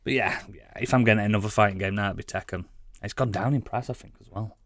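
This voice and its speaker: A man's voice: on the low side, quick pace, fairly bright tone, no room sound. A British man chatting casually as a gaming podcast co-host, blunt, sweary and opinionated.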